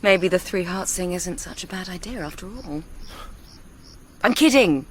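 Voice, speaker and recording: A woman's voice: fairly low pitch, expressive, unhurried, a little hiss or hum. An actress playing an articulate young Englishwoman, educated, confiding and lightly teasing, in naturalistic radio drama dialogue. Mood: playful, teasing.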